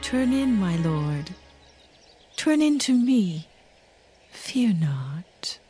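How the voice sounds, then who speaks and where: A woman acts as a coaxing tent dweller in a biblical drama, all soothing hospitality with a lure in it. She draws her words out slowly, with big swoops of pitch over light music. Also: big pitch swings, warm tone. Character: soothing, luring tent wife, outwardly hospitable. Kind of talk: dramatized scripture reading, archaic English.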